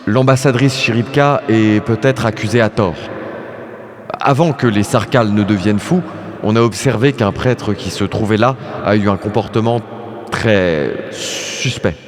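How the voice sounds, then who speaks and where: A man's voice: on the low side, unhurried, long reverb tail, natural voice. A man playing a determined, earnest insect war veteran in an audio drama. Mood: nervous, deferential.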